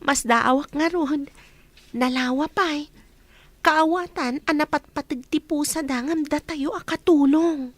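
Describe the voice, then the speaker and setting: A woman's voice: high-pitched, a little slow, no room sound. A woman playing a humble, earnest young housemaid in a radio drama, speaking respectfully and plainly. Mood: puzzled, plaintive. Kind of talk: Ilocano radio drama acting.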